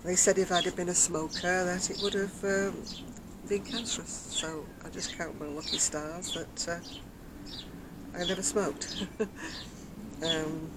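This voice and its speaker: Older woman vlogger, very soft quiet voice, chatty and rambling, outdoors with loud background noise. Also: fairly low pitch, steady tone, slow pace, pauses often. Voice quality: very soft, quiet voice after throat surgery. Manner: chatty, rambling, self-mocking, laughs softly. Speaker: craft vlogger. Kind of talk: casual chat to camera. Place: outdoors on a decking by a brick wall. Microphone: camera in front at close range.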